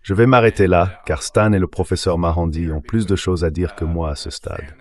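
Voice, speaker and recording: Deep-voiced man giving measured commentary. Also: steady tone. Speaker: guest commentator. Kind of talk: dubbed interview commentary.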